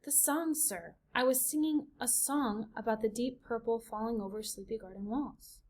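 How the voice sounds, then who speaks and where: Woman doing a little boy mouse, soft and polite, kinda high. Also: normal speed, close to an everyday voice. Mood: earnest. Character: small gentle young male mouse, earnest and dreamy.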